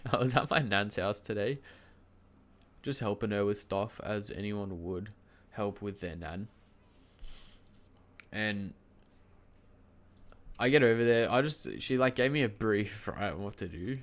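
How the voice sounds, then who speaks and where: A man's voice: lowish voice, a little slow, pauses often. A young man with a cold and a stuffy, nasal voice, casually rambling through a story to his live stream chat, full of fillers and restarts, standing and moving around as he talks. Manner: loose and chatty, lots of fillers and restarts, laughs mid-sentence. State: sick with a cold, congested and nasal. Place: home streaming setup.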